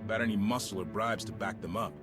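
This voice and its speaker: A man's voice: fairly low pitch, fast talker, close to an everyday voice. An actor playing an earnest, streetwise male officer in a video game, plainspoken and determined. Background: loud game music.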